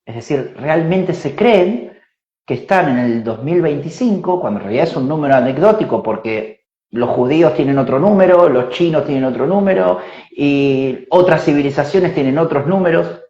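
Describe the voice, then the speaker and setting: A man in his forties, a spiritual teacher, explains ideas slowly and reflectively, thinking aloud with fillers and tag questions. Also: a little high, lively intonation, dark tone. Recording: roomy, dull lo-fi sound.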